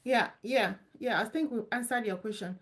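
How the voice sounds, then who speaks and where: Woman, live Q&A host, casual and reassuring, fairly high voice. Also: normal speed, fairly bright tone. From Nigeria. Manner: chatty, loose and repetitive, reassuring. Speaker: live stream host answering visa questions.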